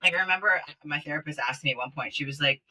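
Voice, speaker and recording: A man's voice: fairly high pitch, quick pace, sharp tone, recorded from a distance, low-quality recording. A man from Kenya, guest on a podcast, telling his story in a rambling way with many fillers, recorded in a small podcast closet. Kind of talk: casual interview chat.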